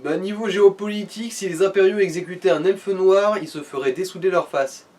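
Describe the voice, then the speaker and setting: A man's voice: high-pitched, fairly quick, a little mellow, far from the mic. A man co-hosting a let's play, chatting casually in crude joking slang and reading game menus aloud. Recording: off-mic, noticeable room noise.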